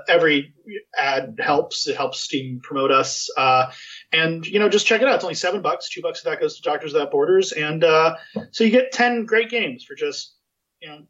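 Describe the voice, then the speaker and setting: A man's voice: on the high side, fairly bright tone. A man hosting a podcast, chatting casually and rambling with lots of fillers and restarts. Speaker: podcast host. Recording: muffled lo-fi sound.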